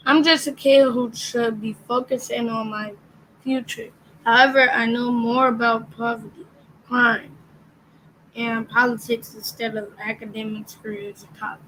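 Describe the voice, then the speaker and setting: Slow, careful young reader. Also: female voice, on the high side, little pitch movement, fairly bright tone, a little hiss or hum, low-quality recording. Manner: careful reading, occasional stumbles on words. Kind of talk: slow read-aloud.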